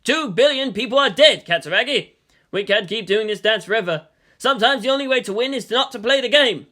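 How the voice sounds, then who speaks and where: A stern, weary statesman in an audio story, voiced by a woman reader. He speaks gravely and emphatically in a forceful tone, with lively rises and falls. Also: fairly high pitch, a little fast. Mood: grave, resolute. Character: weary, stern male president pleading for peace.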